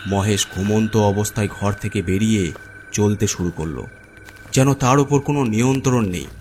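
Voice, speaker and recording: Low-voiced man narrating a horror story. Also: normal speed, background noise.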